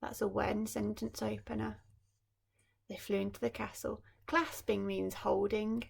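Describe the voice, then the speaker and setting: A woman's voice: mid-range voice, little pitch movement, a little slow, vocal fry, breathy edge. A woman primary school teacher reading a story expressively, with explanatory asides for young children.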